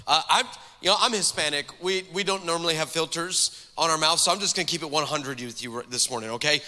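A man in his forties from the Texas–Mexico border, a guest pastor preaching a lively, joking sermon and calling out to the crowd in a large church hall. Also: a little high, quick pace. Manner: energetic, joking, calls out to the crowd. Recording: long hall reverb from a church sanctuary.

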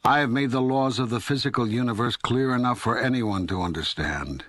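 A man playing God in an audiobook dialogue, speaking as a patient, all-knowing deity in a measured, authoritative, teaching way. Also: mid-range voice, fairly bright tone, dry recording, studio quality, natural voice. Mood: firm, grave. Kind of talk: scripted audiobook dialogue reading.

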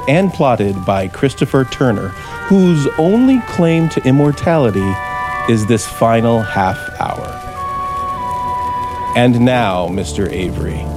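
Embittered American radio scriptwriter, 1950s thriller; deliberate, slow, animated intonation, old broadcast sound. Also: male voice, mid-range voice. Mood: grim, theatrical. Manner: theatrical, sardonic, deliberate. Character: bitter, menacing frustrated male radio scriptwriter plotting murder. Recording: vintage 1950s radio recording with music.

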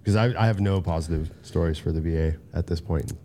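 A man co-hosting a podcast who chimes in with quick agreement and short follow-up questions. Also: low voice, mellow, slight background noise. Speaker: podcast co-host. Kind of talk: casual chat.

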